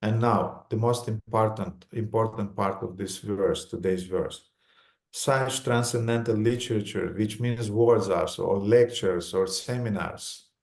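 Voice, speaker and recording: A man with a Romanian accent giving a religious lecture in short phrases, pausing for an interpreter. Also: on the low side, darkish tone.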